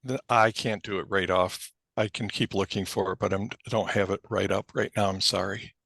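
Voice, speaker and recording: A man presenting to a committee in an online meeting, talking haltingly with many ums and apologies, recorded through a computer mic on a video call. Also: low-pitched, fairly even intonation, gravelly, fairly bright tone, dry recording. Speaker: cataloging librarian presenting to a committee.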